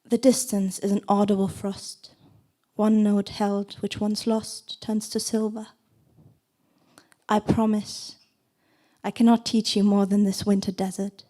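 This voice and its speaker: A woman poet, not a native English speaker, reading her poems aloud at a literary event in a soft, measured voice. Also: mid-range voice, flat delivery. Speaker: poet reading at a book presentation. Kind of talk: poetry reading with brief asides.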